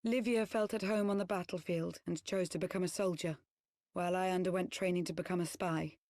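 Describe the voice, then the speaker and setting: A woman playing a composed, earnest ex-spy turned loyal knight in a video game cutscene, speaking in formal, measured, archaic phrasing. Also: lowish voice, fairly even intonation, a little mellow, dry recording, studio quality, lightly acted. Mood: solemn, confessional.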